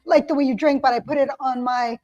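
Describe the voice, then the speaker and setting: A woman co-hosting a podcast, chatting casually and telling anecdotes with lots of pauses. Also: high-pitched, fairly even intonation, warm tone, highs cut off. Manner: chatty storyteller, fills pauses with uh and um. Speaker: podcast co-host. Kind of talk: casual chat.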